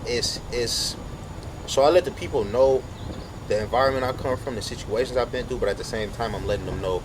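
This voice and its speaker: Young male rapper, rapid and earnest, mid-pitched, street noise behind. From San Francisco. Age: 20s. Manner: fast, earnest, many "you know what I'm saying" fillers. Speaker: rapper, interview guest. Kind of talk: casual interview talk.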